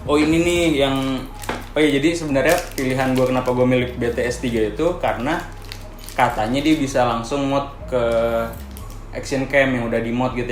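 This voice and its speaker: A young man with a Jakarta Indonesian accent chatting casually and excitably as he hosts an unboxing vlog. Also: medium pitch, a little hiss or hum. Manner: excitable, colloquial. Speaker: vlog host. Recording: echoey room with background music.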